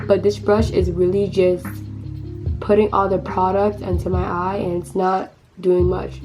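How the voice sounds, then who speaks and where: A hoarse teenage girl talks through her makeup routine in a loose, rambling way, stopping and starting her sentences. Her pitch sits in the middle range. Clear background music plays under her voice. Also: poor audio. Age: teens. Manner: chatty, rambling, lots of fillers and restarts. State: hoarse, lost voice. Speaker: beauty vlogger. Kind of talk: casual makeup tutorial chat.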